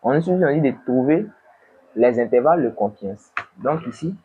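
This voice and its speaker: A man with a cold, tutoring an econometrics exercise and dictating numbers slowly while asking himself questions. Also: medium pitch, lively intonation, phone-quality sound, a little hiss or hum. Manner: slow, dictating numbers, asks and answers himself. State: has a cold. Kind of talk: screen-recorded worked exercise.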